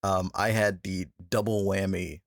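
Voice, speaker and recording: A man's voice: low-pitched, level tone, unhurried, no echo, clean studio sound. A man from Atlanta hosting a podcast interview, talking in an easygoing way with many fillers and easy laughs. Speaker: podcast host. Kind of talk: casual interview chat.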